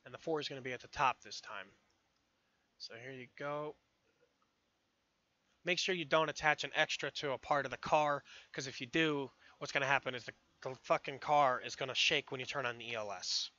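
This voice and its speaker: A man giving a casual, rambling, profane tutorial commentary while hoarse from losing his voice. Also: mid-range voice, brisk, sharp tone, lo-fi sound. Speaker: modding tutorial streamer.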